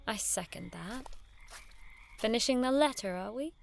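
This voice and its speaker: A woman's voice: on the high side, animated, unhurried, vocal fry, a little hiss or hum. A woman acting in an audio drama as a witty young noblewoman knight with an arch, teasing, theatrical manner.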